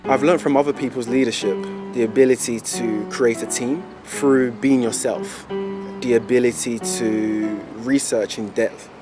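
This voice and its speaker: A young man, an entrepreneur, speaks earnestly and deliberately in an outdoor interview. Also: medium pitch, steady tone, music in the background. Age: young adult. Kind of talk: interview answer.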